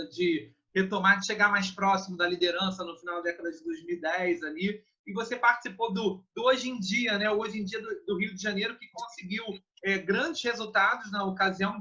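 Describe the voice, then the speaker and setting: A man hosts a casual interview and sets up his guest with long, chatty questions that trail off into "né?" His voice is high and very bright, but the recording sounds dull and lo-fi. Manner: chatty, leading questions with tag "né". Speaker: interview host.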